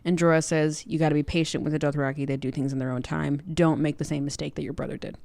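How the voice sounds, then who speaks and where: Low-pitched woman chatting, dry close sound. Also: level tone, quick pace, creaky, a little mellow, no echo. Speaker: book club podcast co-host. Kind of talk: casual chatty book recap.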